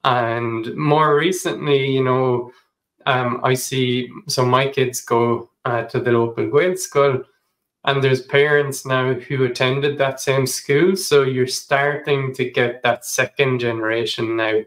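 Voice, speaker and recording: Irish man, podcast host, mid-pitched, casual, lots of fillers. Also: little pitch movement, takes it slowly, fairly bright tone, rough recording. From Ireland. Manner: casual and searching, with many fillers. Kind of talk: interview, conversational.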